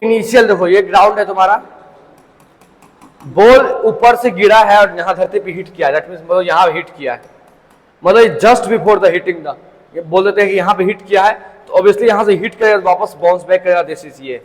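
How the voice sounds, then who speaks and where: High-pitched male physics teacher lecturing a class, keeps asking questions, heard with long hall reverb. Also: normal speed. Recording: long echo in a large hall.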